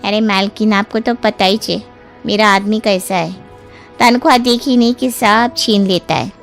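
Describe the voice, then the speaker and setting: A woman's voice: medium pitch, fairly even intonation, a little slow, gravelly, faint music behind. A woman playing a humble housemaid in an acted moral story, speaking in a respectful, deferential and plaintive manner. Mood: complaining.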